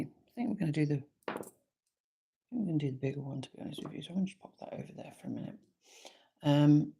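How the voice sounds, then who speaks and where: A woman with a slightly croaky voice, talking through a live craft tutorial in an easygoing, chatty way. Her voice sits low and has a gravelly fry. Its tone is dark and husky. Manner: chatty, easygoing, thinks aloud with frequent ums. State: slightly croaky, sore throat.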